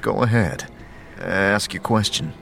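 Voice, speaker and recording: A man acts an inquisitive wanderer in a fantasy audio drama, calm and plain-spoken. His deep voice puts short questions over faint music. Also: takes it slowly, gravelly, full character voice. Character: calm, inquisitive male wanderer seeking answers.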